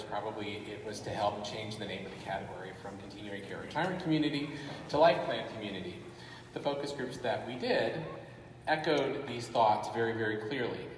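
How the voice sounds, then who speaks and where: A man giving a conference talk in a brisk, loose way with fillers. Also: medium pitch, a little hiss or hum. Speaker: conference presenter. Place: large conference hall. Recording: echoey hall from far off.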